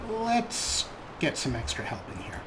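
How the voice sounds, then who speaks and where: A man's voice: mid-range voice, huge pitch swings, unhurried, background noise. A man hosting a gaming let's play, commenting in character with theatrical comic role-play.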